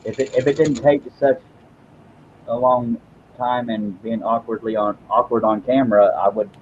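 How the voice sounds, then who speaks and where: A man's voice: medium pitch, fairly even intonation, moderate pace, darkish tone, lo-fi sound, a little hiss or hum. A man hosting a woodworking live stream, chatting casually as he works, rambling and self-deprecating, often repeating his words. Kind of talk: casual live-stream chat while working.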